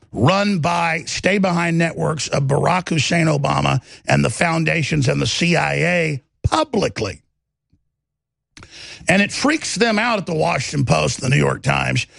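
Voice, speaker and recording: A man with a gravelly voice and a Texas twang, delivering impassioned political commentary in a confident, aggressive, emphatic way, piling up lists and rhetorical questions like a talk show host. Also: fairly high pitch, fairly bright tone, no room sound. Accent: Texan.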